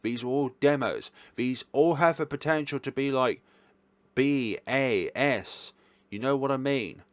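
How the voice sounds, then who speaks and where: A man's voice: medium pitch, a little slow, dry recording. A man giving casual ranking commentary as a video host, rambling and often correcting himself as he goes. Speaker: video host reviewing games.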